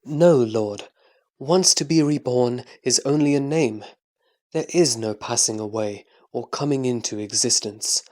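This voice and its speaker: A man playing a humble, earnest Buddhist disciple, answering formally and reverently with deliberate phrasing in a scripture audio drama. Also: mid-range voice, darkish tone, lightly acted.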